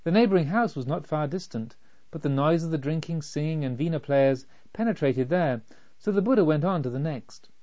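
A man's voice: a little high, brisk, warm tone. Man narrating a story, slightly dull recording. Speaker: audiobook narrator. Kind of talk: scripted literary narration.

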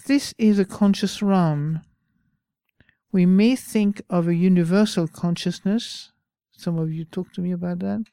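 French-accented woman giving a dharma talk, lowish voice, slow and gentle. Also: clean tone, dry recording. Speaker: meditation teacher.

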